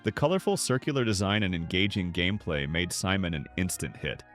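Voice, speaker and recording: A man with a fairly low voice delivers scripted narration in an earnest storytelling manner. His intonation swings up and down in an animated way, and music plays softly behind him. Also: a little fast. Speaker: narrator of a nostalgia video.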